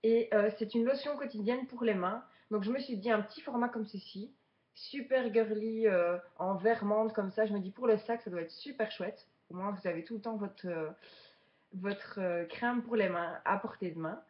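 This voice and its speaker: Woman in her twenties, mid-pitched voice, casual unboxing vlog, muffled lo-fi recording. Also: normal speed. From Belgium, Charleroi region. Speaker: beginner beauty vlogger. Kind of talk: casual unboxing chat to viewers.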